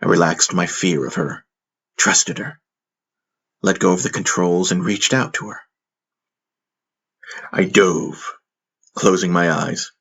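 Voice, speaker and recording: A male narrator tells a fantasy story in the first person. His voice is very deep and rough-edged with huskiness. The delivery is scripted, solemn and dramatic. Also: slow pace, long pauses, fairly bright tone. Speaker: audiobook narrator.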